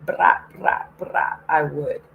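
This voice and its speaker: A woman's voice: slow pace, narrow, tinny audio, slight background noise. A woman hosting an online show in a casual, sarcastic rant, often laughing and swearing. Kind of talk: casual ranting monologue.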